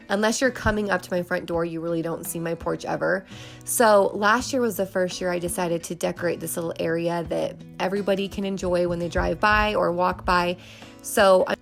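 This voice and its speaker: A woman's voice: on the low side, gravelly. A woman in her thirties, sick and losing her voice, chatting in a nasal tone as she narrates a home decorating vlog. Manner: chatty and upbeat, with fillers like "um" and "like". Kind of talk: casual voice-over narration.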